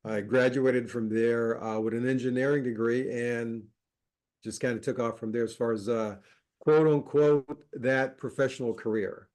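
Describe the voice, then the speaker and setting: A middle-aged man from Colombia talks as a podcast guest in a loose, relaxed way, with plenty of fillers and easy laughter. His voice sits at an ordinary, mid pitch. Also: normal speed. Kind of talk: casual interview chat.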